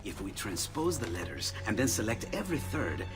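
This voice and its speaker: Excitable Italian inventor, game cutscene, wildly swooping pitch, Italian accent, flustered, friendly, reverberant hall. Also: male voice, a little fast, over music. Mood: absorbed, muttering. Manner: animated, flustered, muttering to himself. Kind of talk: video game cutscene acting.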